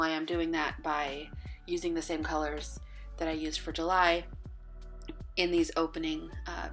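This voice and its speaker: A woman talking casually through her journal pages in a relaxed voice-over, with plenty of ums and uhs and a voice that is going hoarse. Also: sits low. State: losing her voice. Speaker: bullet journal vlogger.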